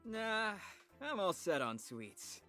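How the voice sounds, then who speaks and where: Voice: mid-range voice, big pitch swings, takes it slowly, pushed and bright, full character voice. An actor voicing a smug, easygoing popular schoolboy in a visual novel, breezy and teasing. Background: faint music.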